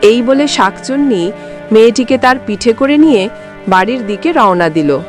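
A woman's voice: on the high side, expressive, normal speed, over music. A woman giving scripted fairy-tale narration as the storyteller.

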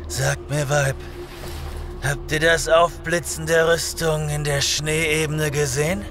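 An actor playing a proud, weary young barbarian warrior in an audio drama, speaking in a heavy, declamatory way. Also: male voice, a little high, little pitch movement, slow pace, music in the background. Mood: boastful, intense.

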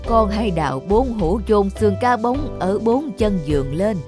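A kindly old male spirit from a cartoon fairy tale, acted out by a woman. He speaks in a measured, consoling way and guides gently. Music plays clearly behind the voice. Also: mid-range voice, lively intonation, lightly acted. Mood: gentle, consoling.

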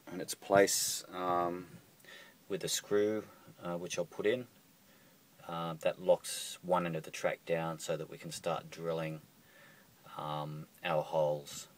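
A man's voice: low-pitched, level tone, takes it slowly, long pauses. A middle-aged man talking casually through a boat door repair, hesitant with many ums and restarts, recorded on a handheld phone. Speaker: boat owner showing a repair. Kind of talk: casual how-to walkthrough. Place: on a boat cockpit, indoors at the door track. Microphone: handheld phone camera.

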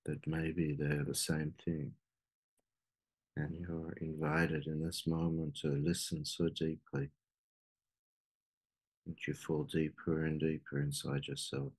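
A man with an extremely low voice guides a meditation. His delivery is slow and fairly flat, with long pauses between phrases. The tone is slightly husky rather than clear.

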